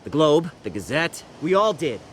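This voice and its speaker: A man's voice: fairly high pitch, very animated, moderate pace, noisy background. A man playing a pushy, accusing newspaper reporter in a video game. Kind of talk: video game dramatic acting.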